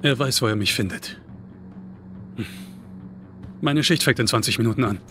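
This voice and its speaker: Actor as bright-voiced, friendly young man. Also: mid-range voice, moderate pace, faint music behind, lightly acted. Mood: casual, friendly. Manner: casual, teasing, affectionate. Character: friendly, upbeat young man, protective big-brother type. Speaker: video game character. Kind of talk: video game dialogue.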